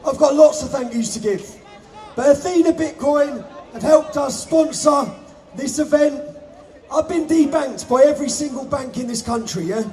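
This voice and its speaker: A man shouts a fiery rally speech from a stage, his voice pushed high and strained as he calls out over the crowd. A murmuring crowd fills the outdoor air behind him. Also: moderate pace. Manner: shouting to a crowd, hoarse-edged. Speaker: rally organiser speaking on stage. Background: crowd noise.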